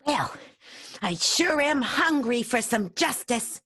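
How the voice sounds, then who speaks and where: A woman's voice: a little high, expressive, slow pace, sharp tone, husky, heavily acted. A woman playing a naive, scheming apprentice werewolf with rustic, folksy speech in an improvised radio play. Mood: chipper.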